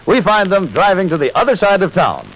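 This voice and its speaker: Brisk vintage radio announcer, a man. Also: high voice, big pitch swings, poor audio. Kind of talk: 1940s-50s radio scripted narration. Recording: old radio broadcast recording. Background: music.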